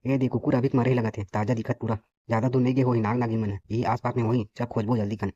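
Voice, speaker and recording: A man's voice: medium pitch, little pitch movement, quick pace, close to an everyday voice. A man voicing a bossy, boastful snake-charmer in a comic cartoon, scolding brashly with a Chhattisgarhi accent. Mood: boastful urging. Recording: dull lo-fi audio, faint noise.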